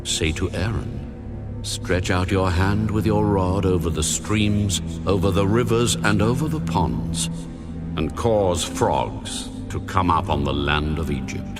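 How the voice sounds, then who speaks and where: An actor playing God as a solemn, commanding male deity in a dramatised audio Bible, speaking deliberately. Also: sits low. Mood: solemn warning. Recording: music under the voice. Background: background music.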